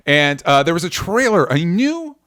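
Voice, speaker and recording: A man's voice: fairly high pitch, very animated, normal speed, a bit bright, no room sound, clean studio sound. A man chatting casually as a podcast host, in a rambling, jokey and self-deprecating way. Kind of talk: casual chat.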